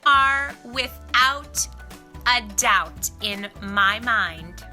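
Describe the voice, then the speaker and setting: A woman hosting a read-aloud for young children, expressive and emphatic, speaking straight to the listener. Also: fairly high pitch, very slow, roomy sound, soft background music. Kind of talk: animated picture-book reading for young children.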